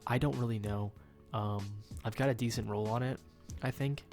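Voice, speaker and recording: A man's voice: medium pitch, fairly even intonation, normal speed, a little mellow, soft background music. A young man with a nasal, stuffed-up voice giving rambling, casual commentary while he plays a game at home, full of ums and uhs. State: nasal from a cold. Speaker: gaming YouTuber.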